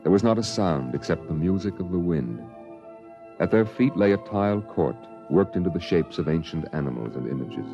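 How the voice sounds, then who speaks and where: A deep, solemn male narrator telling a 1950s science fiction radio drama. He reads slowly and deliberately, very low-pitched. The sound is dull and old-fashioned, with music playing clearly under him. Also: expressive.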